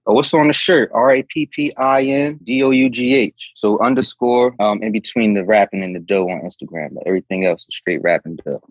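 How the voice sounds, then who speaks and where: Husky-voiced slangy man in his forties. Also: fairly low pitch, brisk, few pauses, no room sound, low-quality recording. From Trenton, New Jersey. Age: 40s. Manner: loose and slangy, many fillers like "you feel me". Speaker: podcast guest. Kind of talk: casual interview storytelling.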